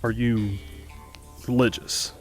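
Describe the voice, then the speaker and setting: A man playing a dutiful, deferential junior police officer, reporting in a measured way in a horror audio drama. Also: mid-range voice, little pitch movement, darkish tone, soft background music, natural voice. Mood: grave.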